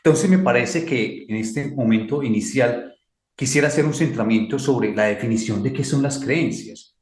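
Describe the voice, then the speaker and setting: A man's voice: medium pitch, darkish tone. Colombian male mentor, reflective and emphatic, repeats his points, roomy sound. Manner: slow, emphatic, repeats key phrases. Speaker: guest speaker, mentor. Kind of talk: reflective talk.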